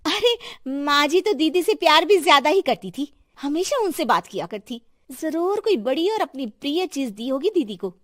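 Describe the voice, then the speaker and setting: A woman's voice: high-pitched, animated, moderate pace. A woman voicing a greedy, envious young daughter-in-law with a wheedling, pointed manner in a cartoon.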